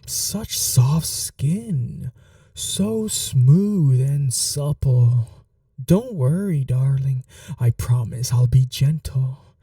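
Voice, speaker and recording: Male actor as ancient seductive vampire master, sadistic and possessive, hushed ASMR roleplay, extremely mellow dark tone, unhurried drawn-out delivery, formal endearments. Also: medium pitch, expressive, no room sound, studio quality, distant mic, exaggerated voice. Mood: predatory, seductive. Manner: slow, hushed, dominant, formal endearments, often whispering. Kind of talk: intimate ASMR roleplay, scripted monologue.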